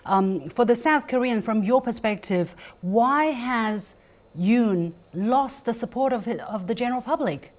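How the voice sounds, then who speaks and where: A woman's voice: fairly high pitch, animated, measured pace. A woman hosting a news panel discussion, pressing her guests with probing questions and cutting in to steer them back on track.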